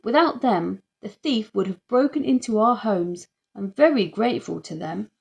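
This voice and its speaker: A woman's voice: medium pitch, expressive, moderate pace, creaky, darkish tone, dull audio. A woman voicing a pompous, formal male neighbour who captains the neighbourhood watch, in a children's audiobook. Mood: grateful, eager.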